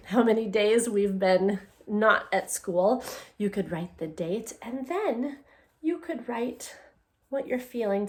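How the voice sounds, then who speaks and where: A woman with a fairly high voice speaks very slowly, as a teacher does with young children. She phrases things with care and emphasis, encouraging her listeners and talking to them directly. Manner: encouraging, asks questions and answers them. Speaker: elementary teacher reading a picture book aloud.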